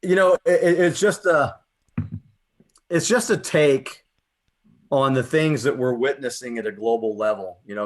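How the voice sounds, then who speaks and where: Earnest American man hosting a poetry show. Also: on the low side. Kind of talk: conversational radio talk and spoken-word reading.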